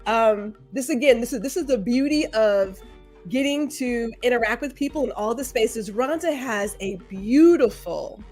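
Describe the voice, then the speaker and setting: A woman's voice: a little high, moderate pace, a little mellow, soft background music. A woman hosting a live stream, chatting casually, laughing often and reading viewer comments aloud. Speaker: livestream show host.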